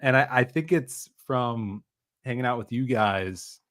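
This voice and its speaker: A man's voice: mid-range voice, a little slow, a bit bright. A man chatting casually on a podcast, telling a story in a laid-back way. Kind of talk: casual chat.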